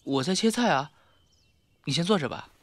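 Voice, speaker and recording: A man acting a heartbroken, weary, gentle young man in a Mandarin audio drama, restrained and wistful. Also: a little high, lively intonation, moderate pace, dry recording, close to an everyday voice. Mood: calm, friendly. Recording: music behind the voice.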